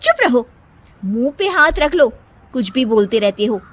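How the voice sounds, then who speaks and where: A woman voicing a boastful, bossy young wife in a cartoon story, high and excited. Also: measured pace, heavily acted. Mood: scolding. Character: boastful young wife, proud of her hands.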